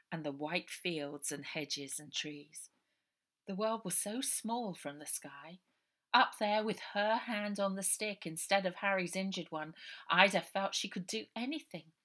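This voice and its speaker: A woman's voice: fairly low pitch, a bit bright. A woman in her fifties with an English accent, reading a children's novel aloud expressively. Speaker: author reading own novel. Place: small room indoors at home.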